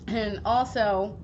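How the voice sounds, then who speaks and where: A woman vlogging at home, hoarse from losing her voice, rambling casually with plenty of swearing and fillers. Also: a little high. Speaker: gaming vlogger. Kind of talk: casual chat. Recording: dull lo-fi recording with steady background noise.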